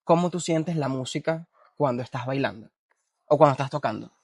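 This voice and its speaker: A man's voice: mid-range voice, a little fast, husky, no room sound, studio quality. A man hosting an interview, enthusiastic and full of restarts. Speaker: interviewer, host. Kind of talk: interview conversation.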